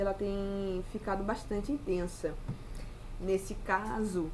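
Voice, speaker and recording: A woman's voice: medium pitch, slight background noise, low-quality recording. A young woman talking to her viewers in a casual, reflective monologue, chatty and often adding tag questions. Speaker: vlogger.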